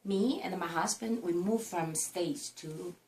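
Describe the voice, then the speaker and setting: Low-voiced woman speaking haltingly from a distance. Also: darkish tone. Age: middle-aged. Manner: hesitant, halting, with frequent fillers. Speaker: interview guest, mother of five. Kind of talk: casual interview chat. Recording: distant mic with faint noise.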